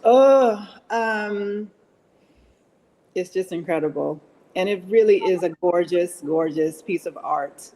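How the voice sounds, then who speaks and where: A woman's voice: lowish voice, slow, dark tone, low-quality recording. A woman, a guest on a show, talking casually to promote a project. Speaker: actor and producer, show guest.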